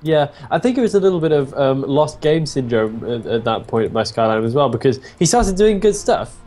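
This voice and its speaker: A man's voice: mid-range voice, big pitch swings, fairly quick, a little mellow. British guy co-casting a game, wry and chatty, faint music behind. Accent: British English. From Britain. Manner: wry, self-mocking, chatty. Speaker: esports commentator. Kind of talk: casual co-commentary.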